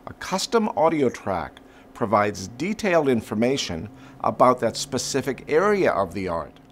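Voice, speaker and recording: A man in his sixties presents a product from a script. His voice sits at a middling pitch with lively intonation. There is faint background noise from the room. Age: 60s. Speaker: company co-founder presenting a product. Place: indoor print workshop.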